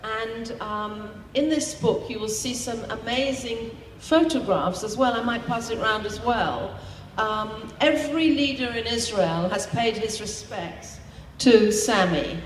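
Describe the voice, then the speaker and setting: A woman interviewing a guest before an audience, polite and measured, with frequent ums. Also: a little high, reverberant, big-room sound, slight background noise, rough recording.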